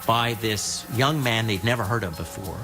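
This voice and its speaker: A man's voice: lowish voice, normal speed. A man giving reflective, emphatic commentary for a documentary. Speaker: documentary interviewee. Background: music score.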